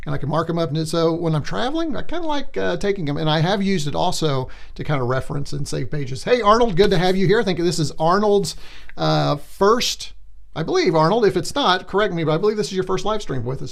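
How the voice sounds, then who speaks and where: A man hosting a casual livestream, rambling as he reads out viewer comments, his voice going hoarse. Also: high-pitched. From born in Germany, military family. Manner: chatty, rambling, many restarts and fillers. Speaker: livestream host.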